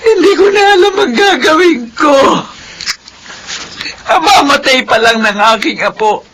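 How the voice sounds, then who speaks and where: High-voiced, anguished Filipino mother. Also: animated, a little slow, fairly bright tone, slight background noise. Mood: anguished, tearful. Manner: wailing, emotionally pleading. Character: anguished, despairing middle-aged mother and new grandmother. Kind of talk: Filipino radio drama acting.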